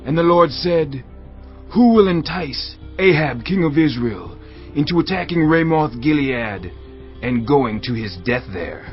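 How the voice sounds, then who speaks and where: Solemn, higher-voiced steadfast prophet. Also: male voice, lively intonation, measured pace. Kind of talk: dramatized audio Bible acting. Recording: dull lo-fi audio over music.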